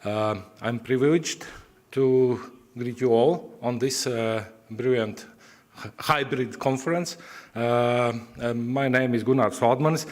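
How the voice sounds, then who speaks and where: A man with a Latvian accent, moderating a conference and giving formal opening remarks in a hesitant way, with many pauses and fillers. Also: medium pitch.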